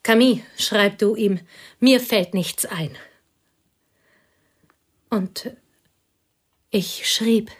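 A bitter, lonely woman who pours out old betrayals in a radio play. Her voice is hushed and intense, often sinking to a whisper. It sits at a middle pitch. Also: extremely slow, pauses often. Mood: bitter confession. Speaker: actress.

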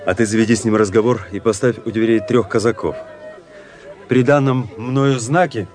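A man playing a composed, daring army officer who narrates his own tale in a measured, grave, literary way for a radio play. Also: lowish voice, steady tone, darkish tone, natural voice. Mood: resolute. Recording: music behind the voice.

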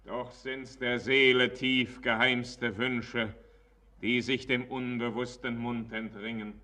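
Mysterious elderly miner and tempter, radio drama; sharp-edged voice, imperious, drawn-out delivery. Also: male voice, mid-range voice, little pitch movement. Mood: cryptic. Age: older. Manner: measured, cryptic, imperious. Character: mysterious, uncanny old miner, commanding tempter. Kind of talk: verse radio drama acting.

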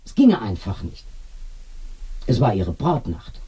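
An older village woman in a radio drama, chatty and familiar. Her low voice is husky and soft-edged, with very lively intonation. She rambles through her memories like a practised gossip. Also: slow pace, heavily acted, low-quality recording. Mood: reminiscing, chatty. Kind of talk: German radio drama acting.

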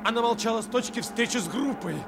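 A man's voice: extremely high pitch, fast. An actor playing an intense, desperate crewman of a stricken bomber, with strained and emphatic radio-drama delivery. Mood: anguished, defiant. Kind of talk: impassioned Soviet radio drama acting. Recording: noisy aircraft sound effects over voice. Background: loud engine noise.

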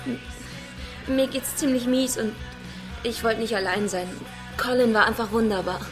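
A woman's voice: fairly high pitch, steady tone, unhurried, natural voice. An actress playing a fragile, distressed woman in a radio drama, polite, hesitant and withdrawn. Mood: miserable, polite. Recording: music clearly playing behind the voice.

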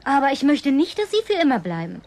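High-voiced coquettish young-girlish woman. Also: big pitch swings, quick pace, darkish tone. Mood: earnest, persuasive. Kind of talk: naturalistic German radio play dialogue.

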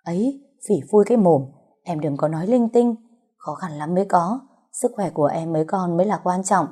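A woman voicing a mild, timid middle-aged husband who chides softly and tries to placate in an audio story. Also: on the low side, moderate pace, husky. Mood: placating. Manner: soft scolding, conciliatory.

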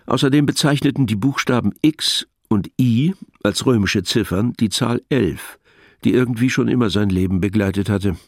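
A man narrating a scripted radio play in a measured, matter-of-fact manner. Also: on the low side, no echo, studio quality.

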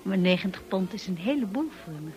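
An actress playing a shy, naive young paid companion in a radio play, hesitant, timid and polite. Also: female voice, medium pitch, animated, brisk, a little mellow, lightly acted. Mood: shy, earnest. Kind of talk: radio drama acting.

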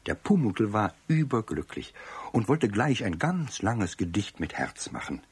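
Male narrator for children's radio story: very raspy, animated delivery, expressive, talks straight to the listener. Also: on the low side, unhurried, no room sound. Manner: expressive, addresses young listeners directly.

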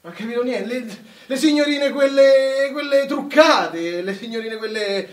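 Actor as a high-pitched, worldly young Italian soldier. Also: male voice, measured pace. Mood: teasing, wistful. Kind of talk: live stage or radio war drama acting. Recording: roomy, distant microphone, music behind.

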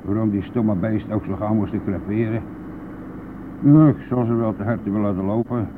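An actor playing a boastful, brash and talkative bus driver in a radio play, with a blustery, colloquial manner. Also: male voice, fairly low pitch, fairly even intonation, moderate pace, lightly acted. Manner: blustery, colloquial, mildly swearing. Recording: narrow phone-like old radio recording, faint noise.

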